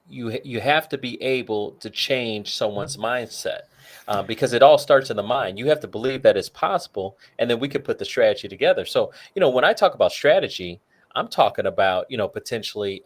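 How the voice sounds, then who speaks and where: A man co-hosting a talk show, casually walking through retirement numbers with plenty of "you know" fillers. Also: lowish voice. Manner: works through figures aloud, many fillers. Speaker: co-host.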